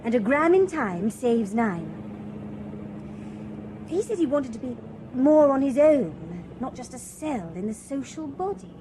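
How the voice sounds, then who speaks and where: Breezy conformist young woman, lively voice, chatty, radio drama, noisy background. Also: high-pitched, unhurried. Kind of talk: BBC radio drama acting.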